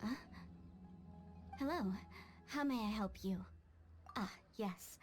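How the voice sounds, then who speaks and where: An actress playing a polite, helpful receptionist in a video game, courteous and slightly hesitant. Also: female voice, medium pitch, slow, faint music behind, lightly acted. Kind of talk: English video game voice acting.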